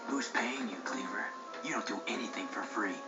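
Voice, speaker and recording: A man's voice: on the low side, normal speed, lightly acted. A man playing a serious, suspicious young hero racer in a video game, with understated, terse delivery. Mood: guarded, serious. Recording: dull game audio, loud music behind.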